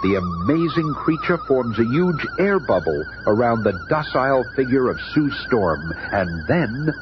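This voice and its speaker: A man's voice: mid-range voice, very animated, measured pace. A man narrating a superhero radio serial in a grandiose, theatrical storytelling style. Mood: suspenseful. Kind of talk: dramatic scripted radio narration. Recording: noisy, muffled old radio recording.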